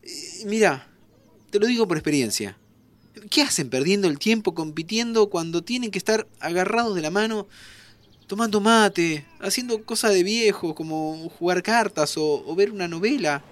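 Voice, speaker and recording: A man's voice: sits high, moderate pace, lightly acted. An actor playing a joking, encouraging young man from an audio drama, with a Rioplatense Argentine accent and a teasing, colloquial way of talking. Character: joking, encouraging young man, dead friend visiting the future. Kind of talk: naturalistic audio drama acting.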